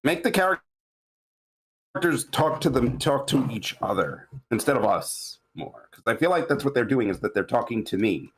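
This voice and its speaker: A man giving casual critique in a halting, rambling way, often searching for words. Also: mid-range voice, very animated, low-quality recording. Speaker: table read participant giving feedback.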